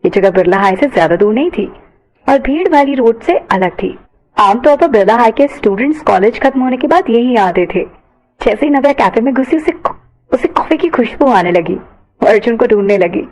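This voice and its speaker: A woman narrates an audio story from a script. Her voice is pitched somewhat high. She tells the events as a third-person storyteller. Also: animated, moderate pace, a little mellow, poor audio.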